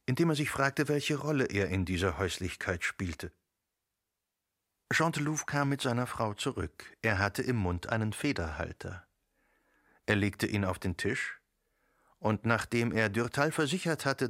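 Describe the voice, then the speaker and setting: A man reading literary narration for a radio drama in a measured, deliberate way. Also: on the low side, a bit bright, no echo, studio quality. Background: music.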